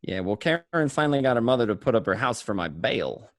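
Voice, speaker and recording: A man's voice: mid-range voice, big pitch swings, fast talker, dry recording, studio quality, close to an everyday voice. A man playing a desperate, paranoid small-time gangster, stammering and swearing, in an amateur script read. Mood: reflective narrating. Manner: stammering, repeating, profane.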